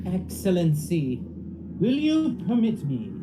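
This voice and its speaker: Loud music runs under a woman acting a formal court examiner in a radio play. The delivery is slow, with a very mobile pitch. The questioning is probing, pointed and skeptical. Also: sing-song, poor audio. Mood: interrogating.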